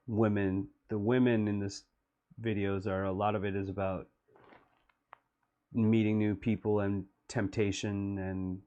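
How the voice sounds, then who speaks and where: A middle-aged man talking through his own music video, slow and wistful. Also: warm tone. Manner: low-voiced, slow and wistful. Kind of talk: reflective commentary.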